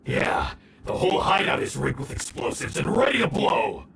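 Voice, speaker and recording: A sly, volatile bandit boss from a video game, high for a male voice and creaky. He taunts theatrically and draws out his threats. The voice sounds echoey over prominent music. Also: sing-song, moderate pace, heavy background noise, full character voice. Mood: gloating, menacing. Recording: echoey, distant, loud music bed.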